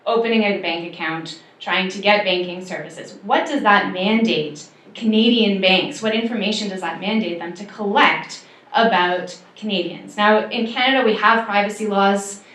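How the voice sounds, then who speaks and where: A woman's voice: mid-range voice, normal speed, a bit bright. A woman lawyer giving earnest, emphatic panel remarks, with some fillers. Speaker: civil liberties lawyer on a panel. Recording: echoey room.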